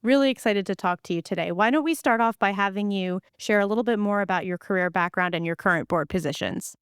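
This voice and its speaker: A woman's voice: medium pitch, fast, smooth, dry recording, studio quality. A woman hosting a podcast interview, an enthusiastic researcher who introduces her guest and asks questions.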